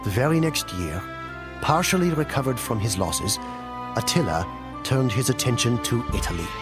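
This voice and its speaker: A man playing a grave storyteller in a game cutscene, narrating ancient wars in a solemn, theatrical, deliberate way. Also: mid-range voice, lively intonation, music in the background, lightly acted. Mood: grave, dramatic. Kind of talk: dramatic scripted storytelling narration.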